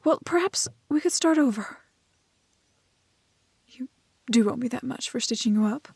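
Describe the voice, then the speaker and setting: A woman playing a gentle, curious young village maiden in a romantic fantasy audio roleplay, with a soft, breathy voice and halting, old-fashioned polite phrasing. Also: on the high side, animated, dry recording, studio quality, full character voice. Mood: timid, polite.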